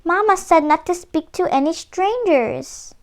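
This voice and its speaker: A woman's voice: very high voice, animated, measured pace, voice pushed up, heavily acted. A woman voicing a sweet, trusting little girl in a storybook, with a prim, innocent, singsong manner. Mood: polite, innocent. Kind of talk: storybook read-aloud character voice.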